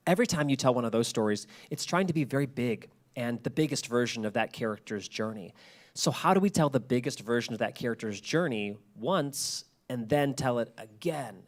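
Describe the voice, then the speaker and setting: A man giving a public talk to an audience, speaking emphatically and repeating key phrases. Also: mid-range voice.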